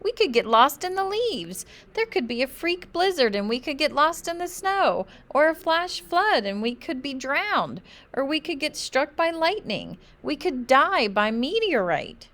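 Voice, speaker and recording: Woman voicing an anxious little boy, high and dramatic. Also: very animated, measured pace, a bit bright, heavily acted. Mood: panicked. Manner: squeaky, breathless worrying. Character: anxious young boy, dramatic, imagining disasters. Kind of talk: children's storybook read-aloud.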